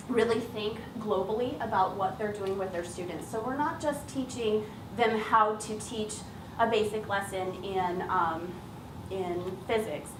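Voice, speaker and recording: A woman teacher giving an informal, explanatory public presentation about her work, speaking as a lead trainer. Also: medium pitch. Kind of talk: public presentation, semi-prepared talk. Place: echoey room at an event. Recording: roomy, distant mic, clearly noisy background.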